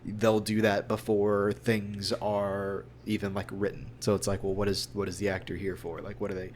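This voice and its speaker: A man with a lowish voice and quite flat intonation talks casually, as a voice actor being interviewed. He is earnest and wanders through his thoughts in a discursive way. There is a faint noisy hum behind him. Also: moderate pace.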